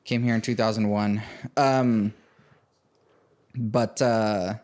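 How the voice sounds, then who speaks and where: A man from Venezuela talks loosely about his life in music, his sentences looping through likes and small laughs. His voice sits a little on the low side. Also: steady tone, slow pace, darkish tone. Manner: rambling, full of likes and fillers, laughs often. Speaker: composer and podcast host, interviewed as guest. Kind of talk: casual interview chat.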